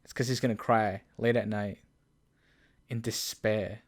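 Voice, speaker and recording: A man's voice: lowish voice, level tone, a little slow. Wry young man who laughs a lot. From Canada. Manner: wry, laughs often, rambling. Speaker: podcast co-host. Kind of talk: casual chat.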